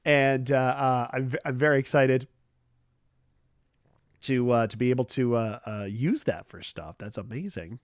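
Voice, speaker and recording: A man's voice: mid-range voice, dry recording. Guy hosting a chill morning stream, stuffy nasal cold voice, rambly, dry close sound. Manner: rambling and easygoing, many fillers and restarts. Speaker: livestream host. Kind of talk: casual morning chat.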